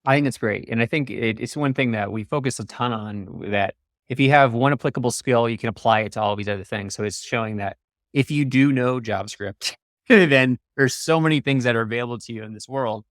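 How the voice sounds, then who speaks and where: A man's voice: medium pitch, brisk, fairly bright tone, no room sound, studio quality. A man hosting a casual livestream in an affirming, encouraging manner. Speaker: host.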